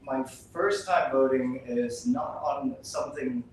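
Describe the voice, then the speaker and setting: A young man from Taiwan, a policy researcher, speaking on a panel in a measured, slightly formal way. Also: medium pitch, darkish tone, a little hiss or hum. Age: young adult. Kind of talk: casual panel conversation. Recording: echoey room, distant mic.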